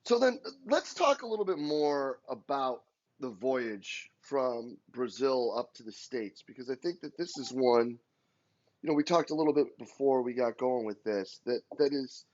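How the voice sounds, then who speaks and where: A man's voice: medium pitch, expressive, measured pace, darkish tone. A man hosting a podcast, asking long, winding interview questions. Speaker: podcast host. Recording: dull lo-fi audio.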